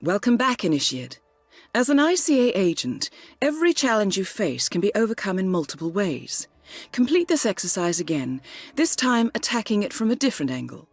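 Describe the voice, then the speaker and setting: A woman playing a composed, confident young British spy handler in a video game, speaking formally and with measured poise. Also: medium pitch, sing-song, soft background music. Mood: briskly instructive. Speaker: mission handler and training guide. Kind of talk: video game cutscene acting and mission briefing. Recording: background score under the voice.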